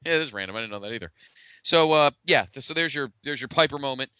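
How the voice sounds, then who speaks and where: A man with a bright, smooth voice at a middling pitch, chatting casually as a podcast co-host. His delivery is blunt and the sound is dry and close. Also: animated, fast, no room sound. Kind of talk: casual pro wrestling retrospective chat.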